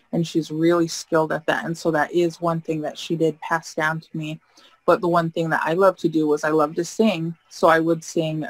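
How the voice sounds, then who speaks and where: A young woman giving a personal keynote talk over a video call, earnest and reflective, pausing often with ums. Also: low voice, dry recording, rough recording. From United States. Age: young adult. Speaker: keynote speaker. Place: indoor room on a video call. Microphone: webcam mic on a video call.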